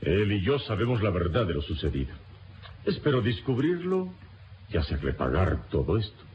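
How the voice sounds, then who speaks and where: An actor playing a noble, serene wronged hero in an old-time radio serial, speaking with solemn, formal, theatrical dignity. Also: male voice, on the low side, very animated, normal speed. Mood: solemn, resolute. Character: noble, serene male hero, honorable wrongly jailed adventurer. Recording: muffled lo-fi radio recording with noise.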